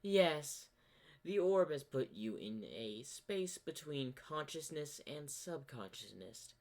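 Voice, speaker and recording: A man voicing a sinister corrupted double of a zebra hero, smug and menacing, with theatrical, taunting, drawn-out phrasing. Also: a little high, very animated, darkish tone, voice pushed up, full character voice. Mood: sly, explaining.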